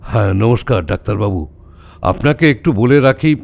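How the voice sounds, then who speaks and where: A man's voice: lowish voice, animated, normal speed, vocal fry, darkish tone, a little hiss or hum. An actor playing a brusque, sardonic older male detective who gives orders in a wry, clipped way in an audio drama. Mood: matter-of-fact. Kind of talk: audio story acting.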